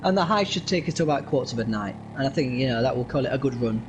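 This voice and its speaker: A British man co-streaming a game, talking in a relaxed way with stammering restarts. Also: mid-range voice, racing, background noise. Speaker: co-streamer guest. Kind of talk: casual gaming stream chat.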